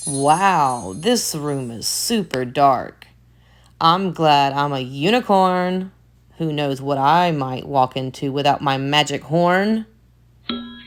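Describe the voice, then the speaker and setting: A woman teacher reading a children's picture book aloud, expressive, with soft whispered asides. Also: low voice, slow, slight background noise.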